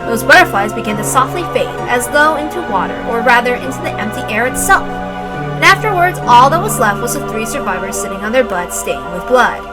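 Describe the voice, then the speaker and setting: A woman's voice: a little high, expressive, brisk. A woman reading a story aloud as dramatic scripted narration. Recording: loud music behind the voice.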